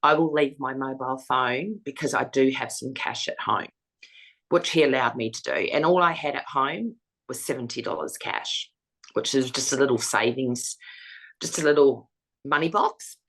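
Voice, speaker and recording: A woman with a husky voice, frankly recounting her own experience as an interview guest. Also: extremely low pitch, normal speed, poor audio. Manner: frank, matter-of-fact storytelling. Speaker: foundation founder, interviewee. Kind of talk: personal testimony in an interview.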